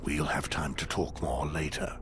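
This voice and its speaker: An actor playing a gruff, world-weary, defiant warrior in captivity in a fantasy video game, terse and brooding, with a gravelly voice. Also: male voice, extremely low pitch, little pitch movement, normal speed, background noise. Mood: sombre. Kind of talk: dramatic video game voice acting.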